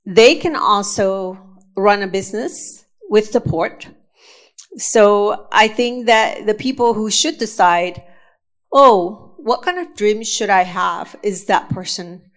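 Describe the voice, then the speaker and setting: Slow, deliberate woman from Indonesia speaking. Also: mid-range voice. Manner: slow and deliberate with frequent fillers and restarts. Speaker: conference speaker. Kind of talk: conference talk. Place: conference session room.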